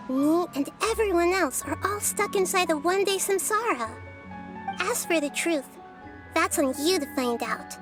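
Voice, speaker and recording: A childlike goddess in a video game. Her voice is high, light and girlish, yet she explains things calmly and cryptically, like a patient sage. Music plays clearly behind her. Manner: explanatory, speaks in analogies. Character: small childlike goddess girl, wise, calm, gently cryptic.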